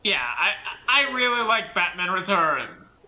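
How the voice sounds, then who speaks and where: A man's voice: sits high, big pitch swings, measured pace, sharp tone, low-quality recording. A man co-hosting a commentary, chatting in a casual, rambling way.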